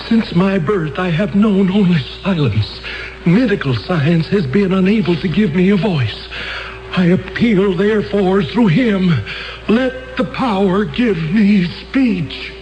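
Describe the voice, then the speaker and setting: An excitable male follower whose voice climbs high above his usual tone and swings widely. He speaks breathlessly and with a raised voice over music in this old radio drama. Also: muffled recording. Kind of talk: 1970s radio drama acting.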